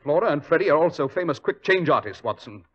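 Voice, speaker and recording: An actor playing a cool, sardonic English master detective who lectures crisply with dry wit, in 1940s radio acting. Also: male voice, a little high, lively intonation, quick pace, a bit bright. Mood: wry. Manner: crisp, lecturing, dryly witty. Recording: dull lo-fi 1940s radio recording.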